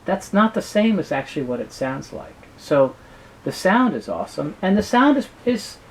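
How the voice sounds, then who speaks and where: Man explaining haltingly over noticeable hiss. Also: high-pitched, very animated, rough recording. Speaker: business founder being interviewed. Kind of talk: casual interview talk.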